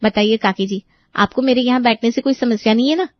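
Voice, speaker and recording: A woman's voice: a little high, quick pace, no echo, lo-fi sound, lightly acted. A woman voicing a cheerful, chatty young daughter-in-law with a teasing, sweetly insistent manner in an audio story. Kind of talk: acted audio story dialogue.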